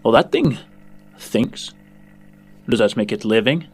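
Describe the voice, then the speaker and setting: A man's voice: mid-range voice, expressive, slow pace, darkish tone, husky. A man voicing a smug, amoral information slicer in a tabletop game, genteel and condescending in manner. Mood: smugly debating. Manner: genteel, condescending, calls listener dear and darling.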